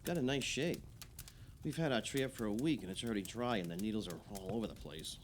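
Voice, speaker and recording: A man's voice: fairly low pitch, lively intonation, fast talker, slight background noise, natural voice. An actor playing a chatty, nostalgic man visiting friends in a naturalistic audio play. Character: chatty, nostalgic male guest at a holiday party.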